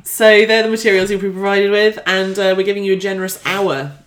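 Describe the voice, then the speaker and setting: A woman's voice: mid-range voice, fairly even intonation, brisk. A woman hosting a casual, chatty podcast segment, presenting an art challenge. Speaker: podcast host.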